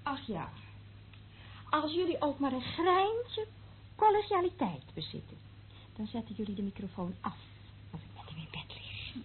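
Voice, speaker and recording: Shameless young woman agent in old radio play, drawly and mocking, highish voice, tinny phone-like sound. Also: big pitch swings, normal speed, pauses often. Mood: mocking, brazen. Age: young adult. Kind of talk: radio drama acting. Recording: muffled tinny old radio recording, faint noise.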